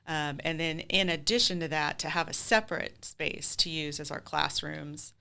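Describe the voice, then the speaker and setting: A woman's voice: low voice, fairly even intonation, moderate pace, gravelly, sharp tone, smooth. A woman speaking conversationally as a theater group president being interviewed, explaining things in chatty, run-on detail.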